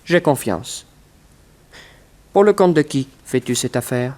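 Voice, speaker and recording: An actor playing a cunning, calmly brutal accomplice in a French radio crime serial, deliberate and commanding. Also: male voice, medium pitch, close to an everyday voice. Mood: probing, brisk. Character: cunning male inside accomplice, calm and brutal. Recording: noisy old radio recording.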